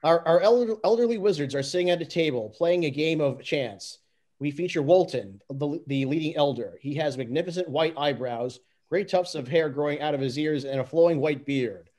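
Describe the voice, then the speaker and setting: A man with a slightly high voice narrates stage directions from a script. It is an amateur reading, and he often trips on words and starts again. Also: normal speed, darkish tone. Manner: stumbles and restarts over the text.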